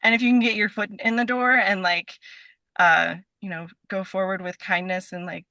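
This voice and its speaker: A woman's voice: mid-range voice, fairly even intonation, normal speed, pushed and bright, no echo. A woman speaking as an interview guest in a casual chat, effusive and giggly, rambling through many asides.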